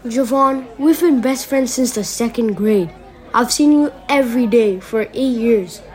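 A woman's voice: fairly high pitch, unhurried, darkish tone, natural voice, rough recording. A young female student playing a loyal, exasperated teenage best friend in an audio drama. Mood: eager, exasperated.

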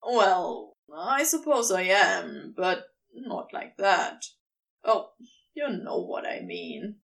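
A woman's voice: on the low side, lively intonation, slow pace, creaky, fairly bright tone, far from the mic, pitched below the performer's own voice, heavily acted. A woman voicing a cocky, teasing male demon lover in a casual, rambling way for an audio story. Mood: casual, flustered.

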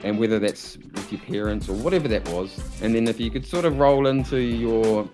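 Host: New man, fairly low voice, upbeat reader of intros, clear music bed. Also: brisk. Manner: upbeat presenter, reads intro. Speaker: podcast host. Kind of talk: podcast interview. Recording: music playing behind.

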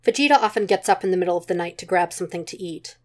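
A woman's voice: fairly low pitch, very fast. A woman reading a story aloud as scripted narration, in the manner of an amateur audiobook. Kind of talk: scripted narration of fan fiction.

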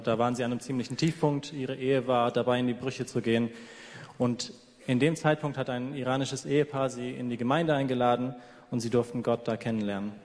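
A man's voice: mid-range voice, a little mellow. Guy translating a sermon live, normal mid voice, lots of church hall reverb. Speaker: interpreter. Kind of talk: live consecutive interpreting of a sermon. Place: large echoing church hall.

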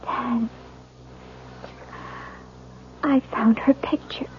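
An actress playing a frightened, suspicious newlywed wife in a 1970s radio drama, with anguished, halting delivery. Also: female voice, fairly high pitch, steady tone, slight background noise, natural voice. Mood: accusing, hysterical. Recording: dull vintage radio recording with music.

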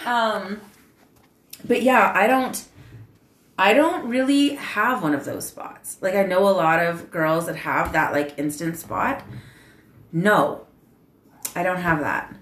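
A woman in casual chat who gets loud and animated when excited. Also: on the low side, slow, long pauses, slight background noise. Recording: echoey room.

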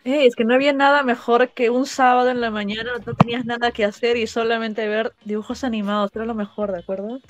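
A woman's voice: a little high, little pitch movement, fast, few pauses, faint music behind. A young woman, chatting casually and emphatically among co-hosts. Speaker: podcast host. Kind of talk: casual group chat.

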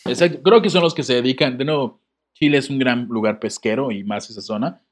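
Dude on a podcast, reads a letter out then jokes around, laughs a lot. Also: male voice, medium pitch, brisk. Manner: joking, laughs often, reads with comic asides. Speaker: podcast co-host. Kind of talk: casual podcast chat, reading a letter aloud.

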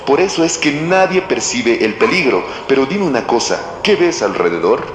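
A man's voice: medium pitch, big pitch swings, moderate pace, a little hiss or hum, close to an everyday voice. A man playing an earnest, alarmed street prophet of danger in an audio drama, urgent and insistent in delivery. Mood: grave, explaining. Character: earnest, alarmed male street doomsayer with megaphone. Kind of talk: scripted audio drama acting. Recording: echoey hall reverb, loud music behind.

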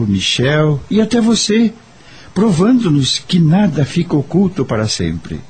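An actor with a Brazilian accent playing a kindly, wise doctor in a radio soap opera, speaking in a measured, earnest, theatrical way. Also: male voice, mid-range voice, darkish tone, slightly rough. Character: kindly, wise adult male doctor, solemn and reflective. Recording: background music under the voice.

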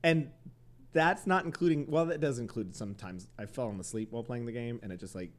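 A man's voice: mid-range voice, fairly quick. A man co-hosting a podcast in casual chat, laughing often and rambling with fillers. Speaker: podcast co-host.

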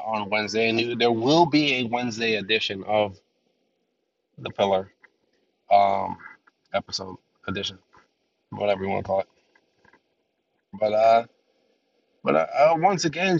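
A man with a lowish, gravelly voice rambles through his solo podcast with plenty of fillers, sounding nasal from a cold that hasn't cleared. The recording is dull and lo-fi, with him set back from the mic and a faint hum behind him. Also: recorded from a distance. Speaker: podcast host. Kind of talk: casual solo podcast talk.

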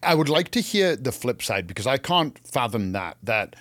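A man's voice: mid-range voice, very animated, moderate pace, a bit bright, dry recording. A man from England co-hosting a gardening show, chatting casually and opinionatedly, telling stories with acted-out quotes.

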